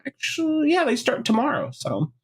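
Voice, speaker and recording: A man's voice: very high voice, huge pitch swings, moderate pace, husky. A man co-hosting a casual chat show, rambling about college basketball while getting over a chest cold. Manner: rambling, repeats filler words. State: congested from a cold. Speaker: co-host.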